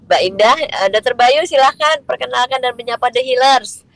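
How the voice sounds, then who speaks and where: A woman with a stuffy nose from a cold, moderating in a lively, teasing, informal way over a call. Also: high-pitched, normal speed, gravelly, rough recording. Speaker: doctor moderator.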